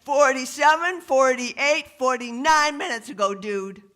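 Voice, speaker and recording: A man puts on a stoned hippie musician from a comic story, cheerful and goofy. The voice sits high and bright, with sing-song, exclamatory phrasing. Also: unhurried, full character voice. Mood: giddy, excited.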